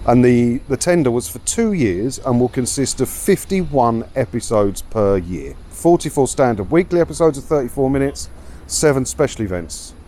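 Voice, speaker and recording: A man's voice: mid-range voice, animated, moderate pace, warm tone. A man with a southeast English accent giving casual, rambling commentary as a vlogger, chatty and colloquial, repeating himself and tagging questions onto his sentences. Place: outdoors, walking around a seaside town. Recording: outdoor street ambience with traffic noise.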